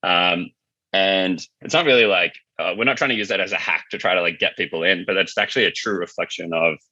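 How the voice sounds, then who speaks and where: A low-pitched man speaks in a forceful, very bright voice. He chats informally as an interview guest, on a dry but slightly dull recording. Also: a little fast, dry recording. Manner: informal, conversational, frequent "like" and "you know". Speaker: company founder being interviewed. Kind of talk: casual interview talk.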